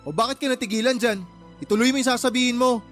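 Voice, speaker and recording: A Filipino actress playing an angry, reproachful younger sister in a radio drama, scolding and sarcastic. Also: female voice, on the high side, quick pace, faint music behind, lightly acted. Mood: exasperated, accusing. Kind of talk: Tagalog radio drama acting.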